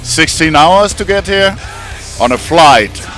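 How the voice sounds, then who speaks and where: A man's voice: on the high side, animated, slow pace, fairly bright tone, faint music behind. A man from Denmark, a touring musician, speaking plainly in an outdoor street interview. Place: outdoor parking lot.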